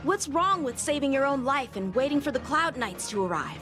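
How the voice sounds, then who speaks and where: A woman's voice: high-pitched, animated, brisk. An actress playing an earnest, easily exasperated young swordsman in a video game. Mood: indignant. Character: indignant, earnest young man, a proud sword trainee. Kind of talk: scripted video game dialogue. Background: faint game music.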